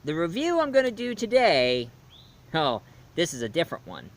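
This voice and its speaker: A man hosts a casual spoken movie review in a fairly high voice. He rambles, stacking fillers and false starts, and his level jumps between loud bursts and near-mumbled asides. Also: huge pitch swings, moderate pace. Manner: rambling with many fillers and restarts.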